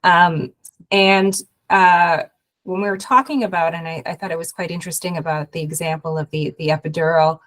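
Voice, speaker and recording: Hoarse woman speaking on a video call. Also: fairly low pitch, steady tone, fairly bright tone. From Canada. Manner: halting, many fillers and restarts. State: hoarse, recovering from a cold. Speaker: guest speaker, investment program head. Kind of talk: webinar presentation.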